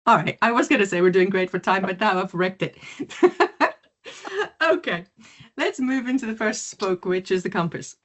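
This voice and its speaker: A middle-aged woman from South Africa, whose first language is not English, giving an online mindfulness presentation in a lively, quick way. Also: medium pitch. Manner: lively, quick, laughs easily. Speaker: mindfulness teacher.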